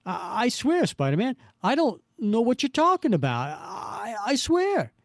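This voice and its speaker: A man puts on a cowardly small-time comic-book crook, pitched well up and whining. He stammers and pleads like someone who always claims to be innocent. The delivery swings widely in a dry, close recording. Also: huge pitch swings, unhurried, dry recording, heavily acted. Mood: panicked pleading.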